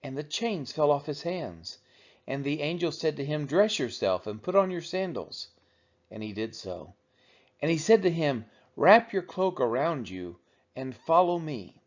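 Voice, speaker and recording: A man's voice: fairly high pitch, big pitch swings, a little slow. A man reading the Bible aloud as a narrator for a church read-along. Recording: dull, lo-fi sound.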